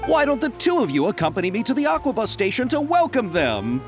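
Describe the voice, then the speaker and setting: A man's voice: very high-pitched, huge pitch swings, quick pace, full character voice. An actor voicing a polite, eager young male film producer in a game, courteous and wordy, with nervous little laughs. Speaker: game character. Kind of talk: video game voice acting. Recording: game audio with soft music.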